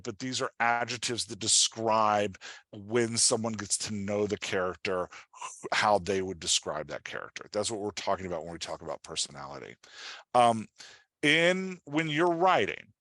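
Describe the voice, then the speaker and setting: A man giving an informal workshop as a writing coach, talking in an animated, conversational way and often checking in with his listeners. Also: lowish voice, moderate pace, no room sound. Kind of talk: informal online workshop presentation.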